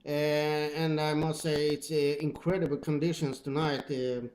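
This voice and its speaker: A man with a Swedish accent, speaking English as a second language, chats casually and haltingly as a radio hobbyist. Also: a little high, level tone, darkish tone, rough recording. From Sweden. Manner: halting, hesitant. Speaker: CB radio operator. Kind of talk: casual two-way radio chat. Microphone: old radio microphone.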